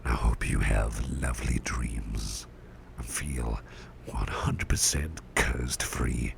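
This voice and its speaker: Very deep, gravelly, gentle ASMR boss played by a man. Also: steady tone, heavily acted. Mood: caring, reassuring. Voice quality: soft, breathy half-whisper with gravelly fry. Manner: tender, reassuring, unhurried, hesitant murmuring. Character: gentle, doting businessman boss caring for his employee. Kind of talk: ASMR roleplay, personal attention. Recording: clear background noise with ambience.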